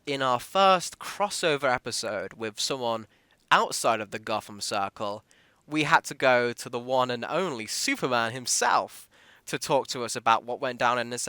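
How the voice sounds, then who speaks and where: A man hosts a casual podcast interview in a bright, smooth voice. His mid-pitched delivery is recorded close and dry. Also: no room sound. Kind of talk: casual behind-the-scenes interview.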